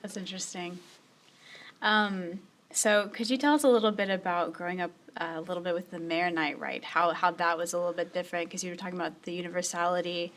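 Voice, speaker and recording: A woman's voice: on the low side, steady tone, fast talker, fairly bright tone. A woman hosting a faith podcast, asking a guest questions with some hesitation. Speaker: podcast host. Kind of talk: interview questions.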